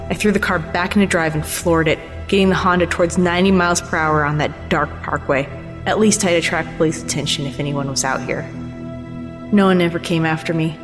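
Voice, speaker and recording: A woman reading a scripted first-person horror story as its narrator. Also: low voice. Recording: long reverb, clear music behind. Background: music.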